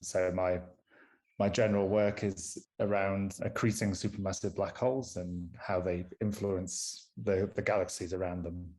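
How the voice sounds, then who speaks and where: A young man from Manchester speaks in a thick northern English accent, his voice sitting very low. He explains his research as a podcast guest in an easy, conversational way, pausing to restart phrases now and then. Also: flat delivery, darkish tone, low-quality recording. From Manchester, England. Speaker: astronomer guest. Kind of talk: casual podcast interview.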